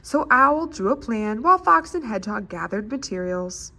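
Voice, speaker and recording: A woman's voice: fairly high pitch, lively intonation, moderate pace, pushed and bright. An American woman speaking as a children's librarian at storytime, encouraging and addressing young listeners as friends.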